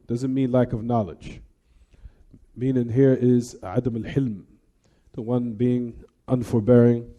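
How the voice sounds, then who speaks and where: A man's voice: medium pitch, warm tone. A man teaching religion in an Islamic lecture, explaining point by point with repetition and weaving Arabic terms and formulas into his speech. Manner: didactic, repeats and restates points.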